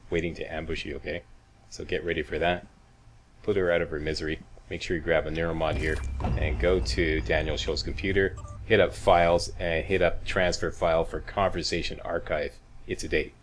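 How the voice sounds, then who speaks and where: Man, low voice, informal gaming walkthrough host, chatty step-by-step guidance, faint noise and game ambience. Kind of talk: casual video game commentary.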